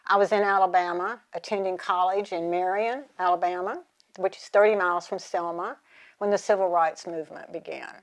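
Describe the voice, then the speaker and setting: A woman's voice: fairly low pitch, fairly even intonation, brisk. An American woman in her seventies or older, from the South, giving reflective personal testimony in articulate, formal wording. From southern United States. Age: 70s or older.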